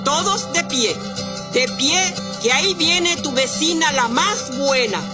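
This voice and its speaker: A woman's voice: high-pitched, slow. A woman reciting spoken-word poetry as a solemn proclamation. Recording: voice over loud music. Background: loud music.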